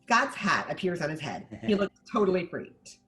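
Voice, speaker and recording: A woman reading stage directions as scripted narration at a table read, in an animated manner. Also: fairly low pitch, normal speed.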